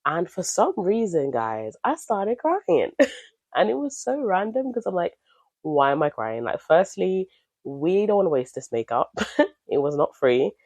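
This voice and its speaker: A woman's voice: lowish voice, sing-song, a little slow, a little mellow, no echo. Congested woman chatting on her podcast. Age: 30s. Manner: chatty, candid, upbeat, thinks aloud. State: nasal from a cold. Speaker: podcast host. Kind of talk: casual solo podcast chat.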